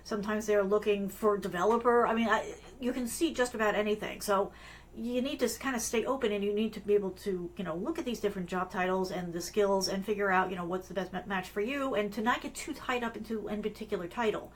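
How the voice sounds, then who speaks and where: A woman's voice: medium pitch, quick pace. A middle-aged woman hosting a live career webcast, talking in a loose, rambling way with many fillers and restarts, her voice strained from allergies. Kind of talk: casual live-stream talk with screen sharing.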